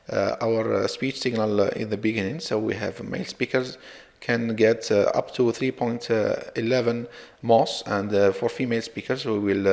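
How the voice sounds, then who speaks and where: Male researcher presenting slides; stop-start hesitant delivery, gravelly fry, echoing hall-like sound. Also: on the low side, darkish tone. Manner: halting, hesitant delivery with frequent repeats. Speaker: researcher presenting own work. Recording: reverberant, hall-like echo.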